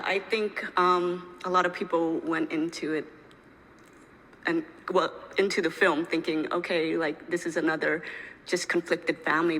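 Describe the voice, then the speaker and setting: A woman's voice: low-pitched, vocal fry, a bit bright, slight background noise, poor audio. A woman giving casual, conversational interview answers as a filmmaker, restarting phrases and often saying "like".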